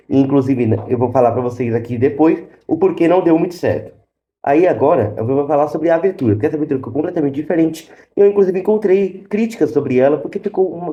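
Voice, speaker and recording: Brazilian youtuber guy giving his opinions, quick talker, mellow tone, roomy echo. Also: on the high side, highs cut off. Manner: opinionated, explanatory, addresses viewers directly. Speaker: YouTube host. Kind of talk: casual review commentary to camera. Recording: roomy, echoey sound.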